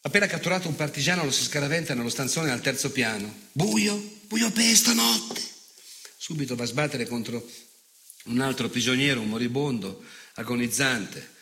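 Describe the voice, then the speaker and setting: An actor playing a cruel, bitter, nostalgic old fascist in a theatrical monologue, speaking with sardonic, obsessive emphasis. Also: male voice, mid-range voice, lively intonation, moderate pace, pushed and bright. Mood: grim menace. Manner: emphatic, obsessive, sardonic recitation with repeated words.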